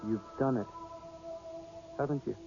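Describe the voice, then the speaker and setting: An actor in a radio drama playing a wary young fortune-teller with a gruff, streetwise, suspicious manner. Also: male voice, medium pitch, a little slow, music in the background. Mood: suspicious, agitated. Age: young adult. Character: wary, brusque young fortune-telling apprentice. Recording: dull, noisy old radio broadcast.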